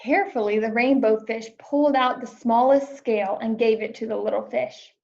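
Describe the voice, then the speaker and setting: A woman reading a children's picture book aloud, narrating in a clear, expressive storytelling manner. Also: on the high side, normal speed, highs cut off. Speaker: storybook reader.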